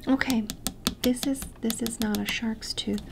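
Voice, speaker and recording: Slow whispering woman, gravelly soft voice. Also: on the high side, fairly even intonation, very noisy. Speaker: ASMR creator. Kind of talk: soft-spoken ASMR roleplay. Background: tapping and clicking sounds.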